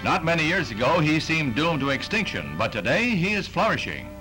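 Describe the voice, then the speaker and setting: A man's voice: on the high side, sing-song, brisk, music in the background, rough recording. An American man reading scripted newsreel narration in a formal, declamatory announcer style on a 1960s film soundtrack.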